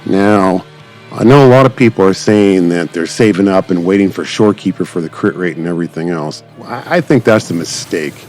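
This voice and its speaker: A low-voiced man talks through his gameplay in a casual, rambling way, like a streamer addressing viewers. His speech is wordy and informal, with music playing under his voice. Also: brisk, darkish tone. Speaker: gaming YouTuber. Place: voice-over on game footage.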